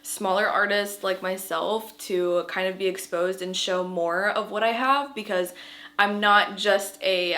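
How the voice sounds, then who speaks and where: A woman's voice: lowish voice, a bit bright. A young adult woman chatting casually to her camera in her art studio, bubbly, with lots of filler words. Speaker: artist vlogger. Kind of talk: casual vlog chat. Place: home art studio, indoors. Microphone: handheld camera.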